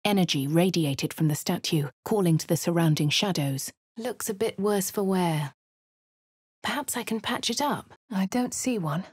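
A woman's voice: on the low side, normal speed, darkish tone, breathy edge, no room sound, clean studio sound. An actress with a posh English accent voicing a wry female fantasy adventurer in a video game, narrating and speaking in character. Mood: measured, wry.

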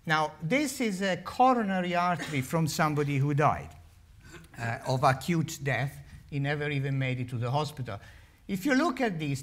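An older man with an Italian accent, a heart surgeon giving a public lecture at a lectern, telling wry stories with many fillers. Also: on the high side. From Italy. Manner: animated, wry storytelling with frequent uh fillers. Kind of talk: public lecture with humorous asides. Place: large lecture hall, standing at a lectern. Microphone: lectern microphone.

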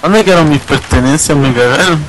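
Young Chilean man, fairly high voice, grumbling and cursing through a co-op game. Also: a little fast, a bit bright, a little hiss or hum, rough recording. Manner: slangy, swearing, complaining. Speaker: gamer. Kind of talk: casual co-op gaming chat.

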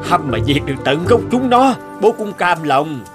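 A man's voice: on the high side, sing-song, moderate pace, over music. A man playing an earnest, obsessive middle-aged village farmer and inventor in a cartoon, explaining things earnestly. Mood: preoccupied. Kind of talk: cartoon dialogue.

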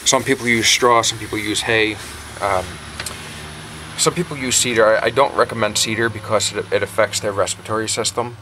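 A man's voice: fairly low pitch, fairly even intonation, fairly quick, pushed and bright. A man giving casual, informal how-to vlog talk, stressing points by repeating them, recorded on a handheld camera. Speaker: backyard chicken keeper and vlogger. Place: outdoors in a yard and inside a small wooden coop. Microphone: handheld camera, operator behind it. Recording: noisy handheld camera audio.

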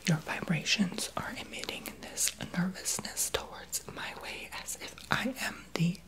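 A man's voice: sits high, measured pace, gravelly, poor audio. A young man voicing a sassy, unqualified therapist in a whispered ASMR roleplay. He whispers blunt, sarcastic remarks close to the mic in a small home office. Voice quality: soft unvoiced whisper. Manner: blunt, sarcastic, gossipy sass. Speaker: ASMR creator.

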